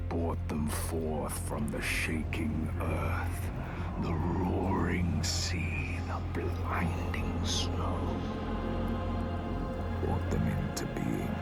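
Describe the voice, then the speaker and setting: Solemn game storyteller; low, breathy, portentous, loud music beneath. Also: male voice, full character voice. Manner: breathy half-whisper, measured, portentous. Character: grave, ancient-sounding storyteller of a dark legend.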